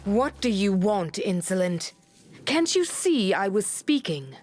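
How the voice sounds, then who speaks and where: A woman playing an imperious, condescending high priestess in a video game, pious and measured. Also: big pitch swings, darkish tone, soft background music. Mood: indignant. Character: haughty, pious high priestess, disdainful of commoners.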